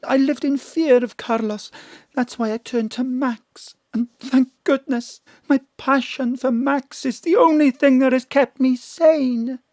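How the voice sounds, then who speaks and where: An actress playing a seductive, melodramatic gangster's widow in a noir-parody audio drama, pleading and flirtatious. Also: female voice, high-pitched, steady tone, unhurried, a little mellow, dry recording, studio quality. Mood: desperate.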